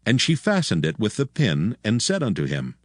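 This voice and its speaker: A man's voice: lowish voice, huge pitch swings, moderate pace, no echo. A man narrating scripted scripture as the storyteller of a dramatized Bible audio production.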